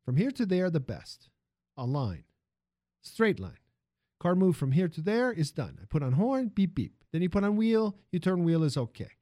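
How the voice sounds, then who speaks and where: A man voicing a small, excitable immigrant factory worker, speaking emphatic broken English in a narrated story. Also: high-pitched, normal speed, dry recording, clean studio sound, pitched above the performer's own voice. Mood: excited, insistent.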